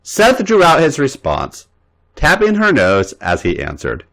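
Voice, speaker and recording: A man reading fan fiction aloud as a podcast host, narrating in an expressive way. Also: unhurried, gravelly, slight background noise. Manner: voices characters' lines with big pitch jumps.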